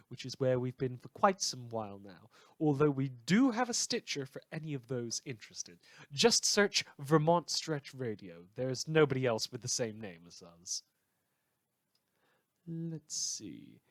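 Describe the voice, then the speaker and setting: A man's voice: on the high side, no room sound. A man speaking as the host of a fan podcast, giving the closing credits.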